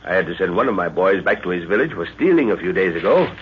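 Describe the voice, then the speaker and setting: An actor playing an older professor and explorer, a concerned father with a deliberate, authoritative manner, in an old radio adventure. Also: low-pitched, very animated. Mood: worried. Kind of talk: 1940s radio adventure acting. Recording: muffled, hissy old radio recording.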